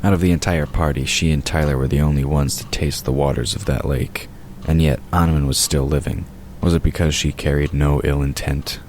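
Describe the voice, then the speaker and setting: Very deep-voiced male storyteller. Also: steady tone, brisk, darkish tone. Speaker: narrator. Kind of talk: scripted fiction narration. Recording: noticeable noise behind the voice.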